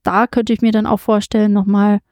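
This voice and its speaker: A woman's voice: mid-range voice, level tone, a little fast, a little mellow, dry recording, studio quality. A woman guest in a casual interview at a comic convention, hesitant with many fillers and restarts. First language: German.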